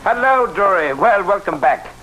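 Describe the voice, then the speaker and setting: A man's voice: high-pitched, animated, moderate pace, over loud music. A man playing a breezy, chummy English police colleague in a vintage radio drama. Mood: breezy, curious. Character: chatty, genial British policeman colleague. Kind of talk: 1940s radio drama acting. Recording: noisy old radio recording.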